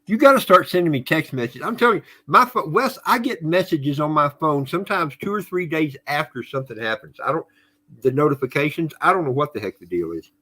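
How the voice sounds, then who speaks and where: A middle-aged man with a bright, forceful voice chats on a podcast livestream. He is friendly and folksy and greets viewers warmly by name. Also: on the high side, lively intonation, a little fast. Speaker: podcast co-host. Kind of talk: casual livestream chat.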